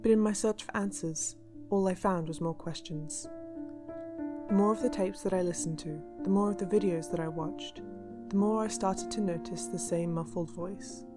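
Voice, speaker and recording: A woman playing a serious, measured investigator who narrates recorded evidence in a scripted radio drama. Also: fairly low pitch, close to an everyday voice. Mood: serious, intrigued. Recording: music bed under the voice.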